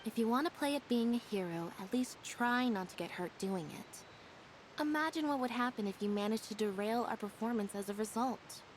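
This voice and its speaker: An actress playing a deadpan young magician's assistant in a video game, speaking in a dry, scolding way. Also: female voice, fairly high pitch, normal speed, slight background noise, natural voice, low-quality recording. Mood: dry, reproachful. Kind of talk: video game character acting.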